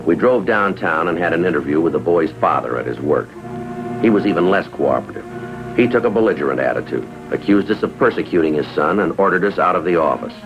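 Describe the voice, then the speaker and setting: An actor playing a stern, no-nonsense police sergeant in 1950s TV crime drama style, clipped and authoritative. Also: male voice, sits low, expressive, fast talker, over music. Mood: matter-of-fact narration. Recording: dull lo-fi 1950s TV soundtrack.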